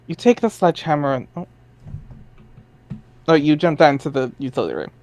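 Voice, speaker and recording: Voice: mid-range voice, expressive, a little fast, darkish tone. An informal, chatty speaker talking casually and narrating for an amateur dramatic reading.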